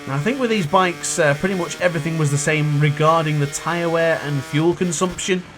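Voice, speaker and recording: A young man with a cold-hoarsened voice, chatting and thinking aloud while he commentates his own racing game play. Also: fairly high pitch, fairly quick, background noise. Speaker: gaming youtuber. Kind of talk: live gameplay commentary.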